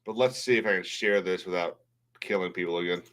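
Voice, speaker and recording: Bright, forceful man chatting on a livestream. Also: sits low, brisk. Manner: rambling, blunt, swears casually. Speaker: livestream host. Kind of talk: casual livestream chat and commentary.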